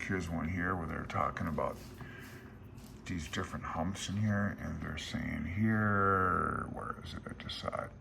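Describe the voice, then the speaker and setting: A man's voice: deep voice, gravelly, fairly bright tone, slight background noise. A middle-aged man thinking aloud in an informal, hesitant, meandering and self-deprecating way as he walks through a handbook at home. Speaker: amateur radio vlogger. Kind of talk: informal rambling walkthrough of a handbook. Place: small room at home.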